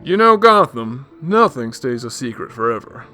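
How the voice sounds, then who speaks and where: An actor playing a breezy, naive-seeming rich socialite with a casual, affable manner in a radio drama. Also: male voice, medium pitch, big pitch swings, normal speed, pushed and bright, soft background music.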